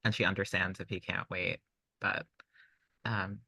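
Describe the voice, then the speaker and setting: Man, low pitch, bright forceful tone, dry sound, tabletop player, tentative casual talk. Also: flat delivery, a little slow, gravelly, breathy edge, no echo.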